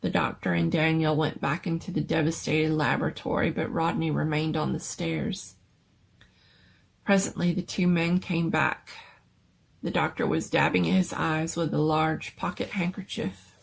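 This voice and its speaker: A woman's voice: sits low, fairly even intonation, moderate pace, gravelly, slight background noise. A woman giving a dramatic reading of a scary story as its storyteller.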